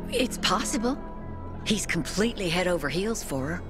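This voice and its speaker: A woman's voice: fairly low pitch, big pitch swings, normal speed. An actress playing an anxious, protective mother in a video game, earnest and worried. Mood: uneasy, explaining. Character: anxious, protective mother pleading for her missing son. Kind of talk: video game drama acting. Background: music.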